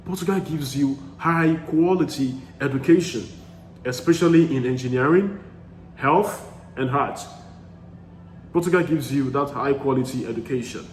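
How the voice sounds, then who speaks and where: A man's voice: medium pitch, normal speed. A man giving study-abroad advice in a casual talk, earnest and emphatic, repeating key phrases for stress. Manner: frequent restarts and fillers, earnest. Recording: echoey room with faint background noise.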